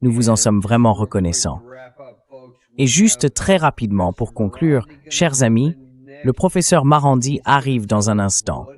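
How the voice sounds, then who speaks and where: A man reading the dubbed translation of a political interview host in a measured voice-over manner. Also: medium pitch, a little mellow.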